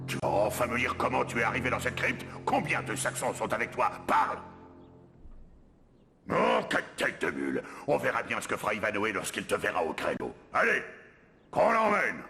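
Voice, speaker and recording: Wildly swooping cartoon villain knight. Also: male voice, medium pitch, huge pitch swings, normal speed, vocal fry, sharp tone, in a large hall, soft background music, exaggerated voice. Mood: gloating, bullying. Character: gloating Norman villain knight, bullying and scornful. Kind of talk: French-language cartoon dubbing.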